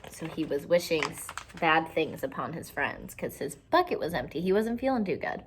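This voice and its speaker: A woman reading a children's picture book aloud expressively, pausing to explain it in simple terms for young listeners. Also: low voice, sing-song, a little hiss or hum.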